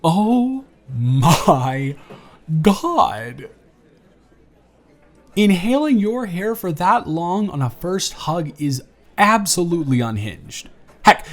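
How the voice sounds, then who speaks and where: A man's voice: fairly high pitch, voice pushed up. A man playing a charming, teasing young man on a blind date, chatty and playful in a romantic audio roleplay. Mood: shocked, amused.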